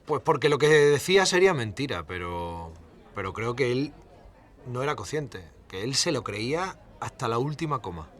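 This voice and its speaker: A man's voice: medium pitch, big pitch swings, normal speed, lightly acted. An actor playing a blunt cameraman from Extremadura, speaking colloquially and hesitantly in a mock documentary interview. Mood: hesitant, recalling. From Cáceres, Spain. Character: TV cameraman from Extremadura recalling events, resentful but kindly.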